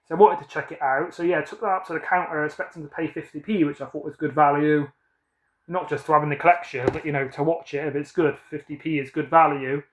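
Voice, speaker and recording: A man's voice: on the high side, steady tone, quick pace, echoey room. A British man in his thirties chatting casually about his film collection, rambling and correcting himself as he goes. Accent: British English. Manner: rambling, self-correcting, upbeat. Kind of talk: casual chat showing a film haul.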